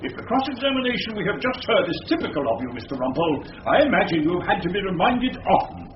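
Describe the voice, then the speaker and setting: A pompous, exasperated English judge in a radio play. The voice sits high and swoops with icy, formal sarcasm. It rings in an echoing room with clear background noise. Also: male voice, very animated, quick pace, highs cut off. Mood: stern exasperation. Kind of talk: British radio drama acting.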